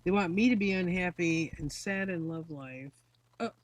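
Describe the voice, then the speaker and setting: A woman's voice: lowish voice, normal speed. Chatty tarot-reading woman, noisy stream. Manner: rambling, chatty, many fillers. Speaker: tarot reader.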